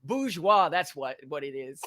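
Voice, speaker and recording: A man's voice: fairly high pitch, big pitch swings, a little slow, bright, forceful tone. A man co-hosting a podcast, talking in a rambling, sarcastic way and reading facts off a list. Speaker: podcast co-host. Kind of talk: casual opinionated chat.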